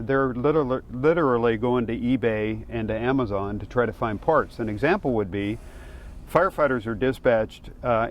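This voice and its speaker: A man speaking as a fire official, explaining matters in a halting, matter-of-fact way during an interview. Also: medium pitch, a little hiss or hum.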